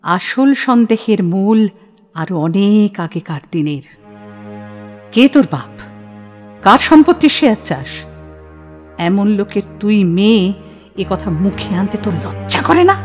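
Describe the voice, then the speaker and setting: A woman's voice: medium pitch, big pitch swings, a little slow, pauses often, faint music behind. A woman playing a stern, shrewd middle-aged mother in an audio play, cutting and authoritative. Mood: bitter, defiant. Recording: long hall-like reverb.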